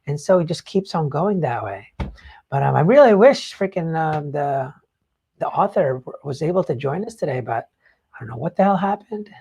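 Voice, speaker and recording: A podcast host with a husky, slightly rough voice in the middle of the pitch range. The talk is casual and unscripted, peppered with swearing, and points get repeated for emphasis. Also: expressive, normal speed, dark tone. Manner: informal, frequent swearing. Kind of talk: casual live book discussion.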